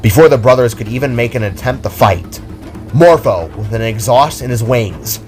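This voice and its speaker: A man's voice: mid-range voice, lively intonation, moderate pace, darkish tone. A man narrating a scripted audio drama as storyteller. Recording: background music under the voice.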